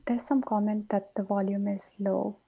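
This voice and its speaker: A woman's voice: medium pitch, steady tone, normal speed, creaky, dark tone, slightly rough, low-quality recording. A woman passing viewer comments to the teacher in a brief, matter-of-fact way. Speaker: livestream moderator.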